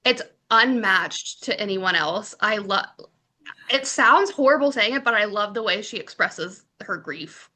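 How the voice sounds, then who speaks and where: A woman's voice: mid-range voice, animated, unhurried, sharp tone. A woman hosting a casual podcast chat, upbeat and playfully teasing. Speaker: podcast host.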